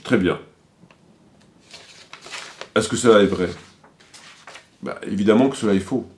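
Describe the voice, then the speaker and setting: A man's voice: on the low side, a little slow, darkish tone. A man delivering critical commentary, quoting sources didactically and repeating his points for emphasis.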